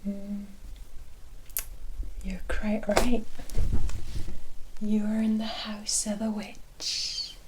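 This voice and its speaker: Slow, whispering playful witch, acted by a woman. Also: medium pitch, completely flat delivery, noisy background. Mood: teasing, curious. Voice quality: breathy whisper. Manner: slow, coy, teasing, addressing the listener as honey. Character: playful, enigmatic forest witch, amused and teasing. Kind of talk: whispered ASMR roleplay.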